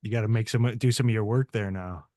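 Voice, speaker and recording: A man's voice: on the low side, fast talker, warm tone, no echo. A man hosting a casual podcast, laid-back and slangy with short reactions.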